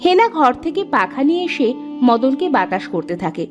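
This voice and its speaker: A high-pitched female narrator tells a cartoon folk story. She reads it as scripted storybook narration. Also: sing-song, moderate pace, lo-fi sound, over music.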